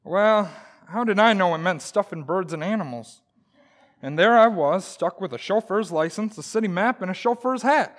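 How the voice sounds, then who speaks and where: A man's voice: high-pitched, animated, normal speed, a bit bright. A man playing a blustering, wisecracking, stubborn husband in old-time radio comedy, with a folksy, exaggerated, jokey delivery. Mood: defensive, wisecracking.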